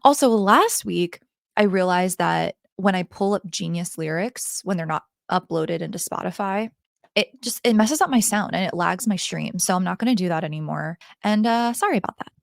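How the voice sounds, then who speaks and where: A woman with a hoarse, gravelly voice reviews an album in a casual, chatty vlog. She talks straight to her viewers through a handheld microphone. The sound is dry and close, with no room echo. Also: on the low side, expressive, normal speed. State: hoarse, raspy voice from the cold. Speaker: music reviewer.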